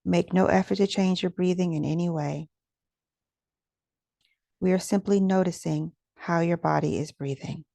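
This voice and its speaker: A woman guides a meditation in a relaxed, warm voice that sits a little low. Her delivery is gentle and soothing, with soft pauses and a noticeable vocal fry as she gives each instruction. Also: no room sound. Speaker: guided meditation teacher and host.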